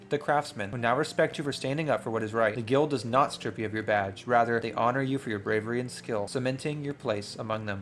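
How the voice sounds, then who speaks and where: A man playing a tabletop game, reading narration aloud and thinking out loud in excitable, slangy asides. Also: mid-range voice. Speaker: player and host of a gaming channel. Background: music.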